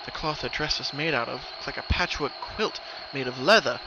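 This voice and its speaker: Man narrating dramatically over clear background noise. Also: moderate pace, dull audio. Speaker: narrator of horror fan fiction. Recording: noisy recording, rumbling ambient background.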